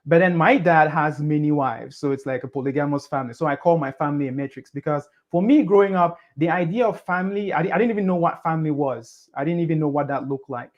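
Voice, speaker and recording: A man, a podcast guest, telling his life story in a casual, animated way, pushing his voice up on the points he stresses. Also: on the high side, quick pace, hardly any pauses, dull audio. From Cameroon.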